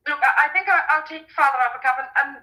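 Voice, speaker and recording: An actress playing an anxious, hospitable daughter caring for her sick father in a radio drama, speaking in halting, hesitant phrases. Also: high-pitched, pushed and bright. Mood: uneasy, practical. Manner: hesitant, halting speech with repeated words.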